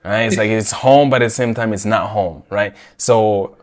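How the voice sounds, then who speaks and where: Low-voiced young man hosting a podcast. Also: normal speed, darkish tone. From Rwanda. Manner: chatty, self-correcting, frequent restarts. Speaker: podcast host. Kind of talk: casual conversation.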